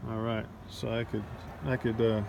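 Low-key man with gravelly, creaky voice. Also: flat delivery, normal speed. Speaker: vlogger testing drone gear. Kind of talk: casual unscripted narration of a field test. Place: outdoors at night in a neighborhood. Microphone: handheld cell phone. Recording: noisy outdoor cell phone recording. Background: outdoor ambience and passing vehicle noise.

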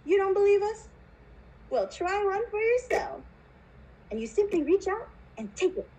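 Puppet show role: eccentric chocolate factory boss played by a woman, very high, chirpy, widely swooping voice. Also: normal speed, full character voice, rough recording. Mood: showy. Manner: theatrical, animated.

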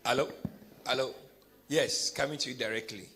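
A man walks a crowded hall with a handheld mic, firing short, direct questions. His voice sits at an ordinary middle pitch. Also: normal speed, pushed and bright, slight background noise. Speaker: bishop interviewing congregants. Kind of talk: questioning church members on a roving mic. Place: large hall with audience. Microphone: handheld microphone.

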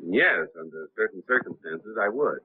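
A man's voice: fairly low pitch, animated, normal speed, husky. An actor in his thirties playing a polite, formal man posing as a lawyer in a 1930s film. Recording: tinny 1930s film soundtrack.

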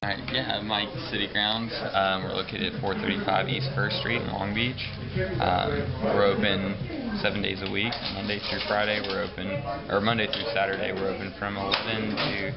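A young man speaks up close in a bike shop, low and monotone, pausing and fixing his words. The recording is muffled, with loud music behind. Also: creaky. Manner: hesitant, self-correcting. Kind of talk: casual shop introduction. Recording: dull lo-fi sound under loud music.

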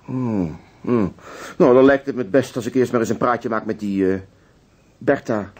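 An actor playing a courteous, methodical police inspector in a Dutch radio drama, businesslike with pensive hesitations. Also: male voice, medium pitch, normal speed, darkish tone, close to an everyday voice.

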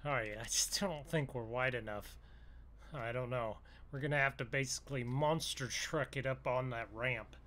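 A man's voice: mid-range voice, moderate pace. A man giving casual, folksy gameplay commentary in roleplay, thinking aloud as he goes. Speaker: gaming video host. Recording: loud vehicle engine noise behind. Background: game truck engine sounds.

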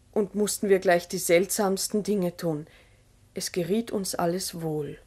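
An actress playing a farmer's wife who earnestly recounts strange happenings in a measured, literary 1960s German radio play monologue. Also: female voice, medium pitch, a little mellow, natural voice. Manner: deliberate, literary, earnest storytelling.

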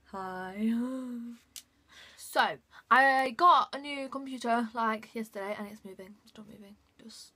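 Slow, rambling young woman vlogger. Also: high-pitched, pushed and bright. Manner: rambling, giggly, many fillers. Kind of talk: casual vlog chat. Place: bedroom, indoors. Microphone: phone camera at arm's length.

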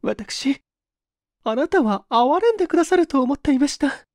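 Man voicing a high, tearful young lady. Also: animated, darkish tone, no echo, studio quality. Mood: pleading. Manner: halting, sobbing whispers. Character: young married lady, beautiful, tearfully confessing love.

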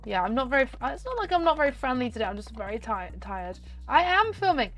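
Brief: young woman, hoarse from a cold, lively up-and-down gaming chatter, soft game music under. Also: sits high, fast talker. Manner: chatty, talks to the game and viewers. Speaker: gaming channel host. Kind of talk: casual game commentary. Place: home room, indoors. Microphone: desk microphone on stand.

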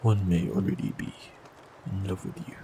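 A man voicing a warlock in an audio roleplay, talking calmly and reassuringly to the listener. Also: sits low, normal speed, gravelly, noisy background. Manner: calm, gentle, reassuring.

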